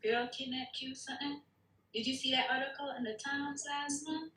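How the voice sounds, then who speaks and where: A woman's voice: sits high, fairly even intonation, moderate pace, a bit bright. An actress reading a gossipy, condescending society wife in her thirties at a screenplay table read. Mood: alarmed, gossipy. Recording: echoing room, faint background noise.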